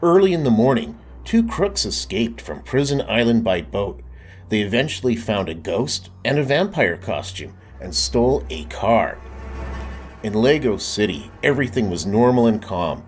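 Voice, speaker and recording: A man's voice: on the low side, big pitch swings, moderate pace, soft background music. A man narrating a children's storybook aloud, addressing young listeners. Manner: asks the young listener questions.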